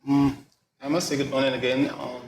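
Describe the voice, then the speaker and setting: Middle-aged male guest, mid-pitched, explaining in measured phrases in an echoey room. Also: level tone, soft background music. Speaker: air traffic controller, interview guest. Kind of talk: explanatory interview talk. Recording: echoey room, light background noise.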